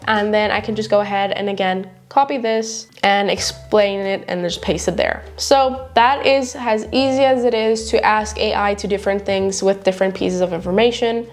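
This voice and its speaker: A woman in her twenties, a native Afrikaans speaker, giving a chatty, casual screen tutorial from her home office desk. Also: medium pitch. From South Africa. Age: 20s. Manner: chatty, filler-heavy walkthrough. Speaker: YouTuber.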